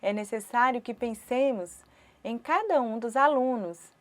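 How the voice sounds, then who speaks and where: A woman with a Brazilian Portuguese accent who voices a sign-language teacher's lecture for an online course. She speaks in short phrases broken by pauses. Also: on the high side.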